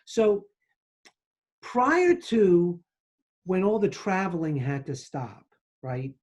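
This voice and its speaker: A middle-aged man hosting an interview over a video call, asking questions in a hesitant, halting way. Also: sits high, sing-song, darkish tone. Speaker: podcast host, surgeon.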